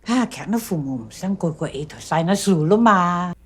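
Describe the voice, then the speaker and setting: A woman's voice: fairly low pitch, animated, moderate pace, husky. An actress playing a woman who runs an adoption center, speaking in plain, everyday conversation. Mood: warm. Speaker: film character.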